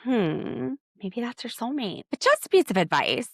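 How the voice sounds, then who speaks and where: A woman's voice: on the high side, sing-song, normal speed, no echo, clean studio sound. A woman hosting a solo podcast, recapping a reality TV episode with sarcastic, exasperated commentary. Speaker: podcast host.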